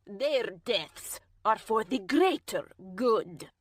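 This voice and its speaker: A woman reader voicing a cold, stern, self-righteous woman who speaks slowly and calmly, sometimes in a whisper. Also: a little high, exaggerated voice. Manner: slow, calm, measured, at times whispered. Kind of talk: audiobook character voice.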